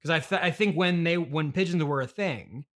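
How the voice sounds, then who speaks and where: A man in an improvised comedy chat, playing himself as interviewer, halting, hesitant and awkwardly polite, often repeating himself. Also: on the high side, a bit bright.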